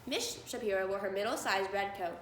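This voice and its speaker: A woman's voice: medium pitch, brisk, fairly bright tone. A woman reading a children's storybook aloud as narrator. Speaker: storybook reader. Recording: roomy echo, faint background noise.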